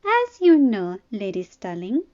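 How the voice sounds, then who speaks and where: A woman's voice: medium pitch, big pitch swings, unhurried, darkish tone, highs cut off. A woman voicing an amused, provocative young princess with a sensual, drawling manner, as audiobook dialogue. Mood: smug.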